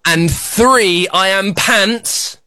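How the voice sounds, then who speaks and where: A man's voice: sits high, extremely slow, no echo. A man hosting a children's radio show, running a quiz with a young caller in a playful, teasing, boastful way. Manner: teasing, boastful, exaggerated excitement.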